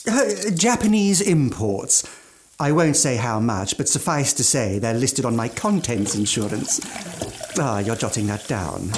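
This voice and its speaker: An actor playing a genial, boastful British chef in the style of an old radio play, with effusive, gentlemanly phrasing. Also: male voice, medium pitch, lively intonation, unhurried, natural voice. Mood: jovial boasting. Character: genial, boastful gourmand chef, former mountaineer, now legless.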